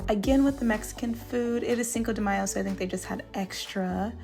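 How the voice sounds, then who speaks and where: A woman's voice: medium pitch, brisk. Casual female vlog narrator over music. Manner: conversational, slangy.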